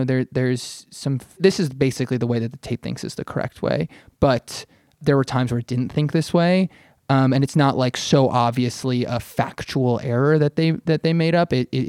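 A man's voice: mid-range voice, a little mellow, no echo, clean studio sound. A man on a podcast explaining things in a casual, conversational way, often qualifying what he says. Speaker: writer on a podcast.

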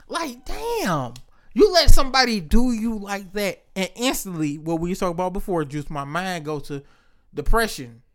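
A man's voice: high-pitched, bright, forceful tone. A man hosting a casual podcast, joking and teasing his co-hosts and laughing often. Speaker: podcast host.

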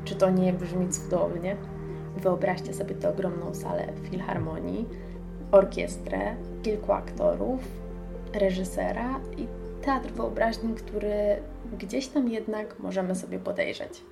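A woman hosts a radio feature in a mid-pitched voice with noticeable vocal fry. She is enthusiastic and talks straight to her listeners. Music plays clearly behind her. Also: fairly even intonation, measured pace. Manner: enthusiastic, addresses listeners directly. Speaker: radio show host.

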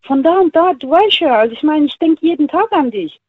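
A woman's voice: high-pitched, lively intonation, brisk, no echo. A woman calling a radio show by phone, telling personal things in a colloquial, emphatic way with repeated phrases. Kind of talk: casual personal storytelling. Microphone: telephone. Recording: thin, tinny phone line.

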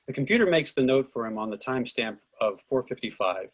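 A man's voice: mid-range voice, lively intonation, brisk. A man reading stage directions aloud as scripted narration for a screenplay reading.

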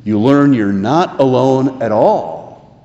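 An American man preaching a sermon in an earnest, deliberate way, leaning on key phrases. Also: medium pitch, animated, warm tone, highs cut off. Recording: echoey hall with long reverb.

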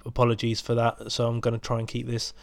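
A man talks through his podcast intro with a stuffed-up, cold-thickened voice. He rambles casually with lots of ums, in an even, flat tone. The recording is dry and close. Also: medium pitch, fast, gravelly, dry recording. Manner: rambling, many ums and restarts. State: bunged up with a cold. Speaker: podcast host.

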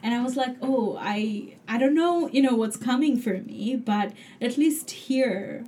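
Mellow halting Bangladeshi woman. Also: a little high. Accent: Bangladeshi English. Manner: hesitant, halting, many fillers and restarts. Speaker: PhD student guest. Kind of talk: casual interview answer.

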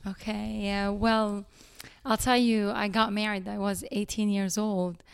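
Lebanese lady, middle aged, chatty interview guest, clear smooth voice, lots of uhs and restarts. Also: medium pitch, little pitch movement. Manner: halting, many fillers and restarts, nonstandard grammar. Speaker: guest, chocolate business owner. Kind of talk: casual interview chat.

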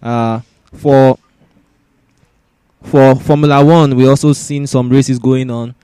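A man's voice: mid-range voice, steady tone, slow, mellow, clear, resonant tone. A man hosting a sports show, running through results and predictions in a roundup style.

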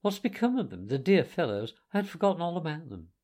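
A man voicing an excitable, theatrical male toad who swings between contrition and glee. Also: fairly high pitch, moderate pace, voice pushed up. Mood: flippant. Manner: flighty and theatrical.

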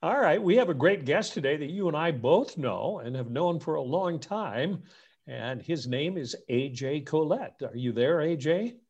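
A man's voice: a little high, expressive, normal speed, darkish tone, breathy edge, dull audio. A man hosting a podcast, talking in a friendly, conversational way and often repeating words as he goes. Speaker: podcast host. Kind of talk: casual interview chat.

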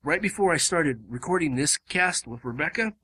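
A man hosting a casual podcast, his voice hoarse and starting to give out, rambling with lots of fillers and restarts. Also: on the high side, lively intonation, moderate pace, sharp tone. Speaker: podcast host. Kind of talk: casual chat. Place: home room.

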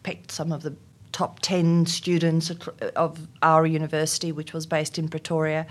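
A woman's voice: low voice, completely flat delivery, moderate pace. A middle-aged South African woman, Afrikaans her first language, giving thoughtful interview answers with frequent ums and restarts. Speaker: interview guest, HR executive.

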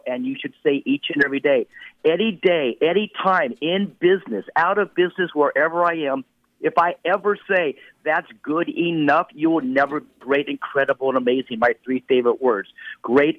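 A man's voice: fairly high pitch, dry recording. A man in his late fifties giving a motivational talk on a radio show, speaking emphatically in a repetitive, preacher-like cadence over a phone line. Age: 50s.

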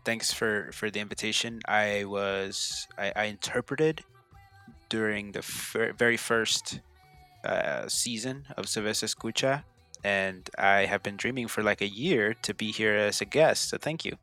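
A man's voice: on the low side, measured pace, pushed and bright, soft background music. A young man from El Salvador, a guest interpreter, talking casually and hesitantly with many restarts. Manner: hesitant, repeats words. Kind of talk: casual conversation.

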